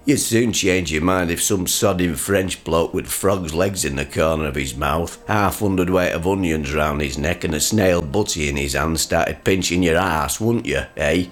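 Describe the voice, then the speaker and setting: A man playing a grumpy, crude working-class father in a radio comedy sketch, gruff, sarcastic and blustering. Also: deep voice, moderate pace, few pauses, lightly acted. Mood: ranting.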